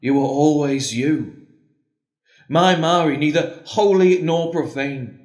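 A devout priest in a radio play. His voice sits a little high for a man, earnest, tender and solemn. It echoes in a roomy space. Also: slow, darkish tone. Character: John, devout, tender, doubting priest facing the end. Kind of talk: audio drama acting.

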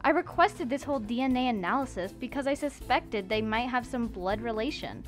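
A woman's voice: fairly high pitch, fairly bright tone. A woman voicing an agitated young woman who swings between confusion and anger, in a fan dub of a comic. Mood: indignant. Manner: anxious, indignant, wavering.

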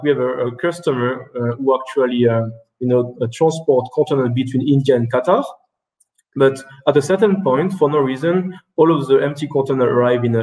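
A man in his thirties presents over a video call from an indoor room. His voice sits at an ordinary middle pitch, and he talks haltingly with many restarts and fillers. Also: darkish tone, rough recording. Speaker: keynote speaker. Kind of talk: webinar presentation and Q&A answers.

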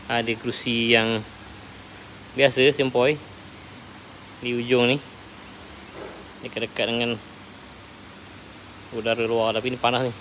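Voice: medium pitch, level tone, unhurried, pauses often, fairly bright tone. A food vlogger with a Malaysian accent giving casual walk-around commentary, asking questions and repeating answers back in an inquisitive way. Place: walking outside and inside a roadside cafe. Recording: noisy handheld recording with cafe ambience.

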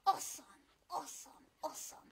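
A woman's voice: fast talker, natural voice. A woman voicing a cheeky, vain young male ventriloquist puppet who teases and boasts. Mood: upbeat. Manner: bossy, boastful, teasing. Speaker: puppet co-host of comedy show.

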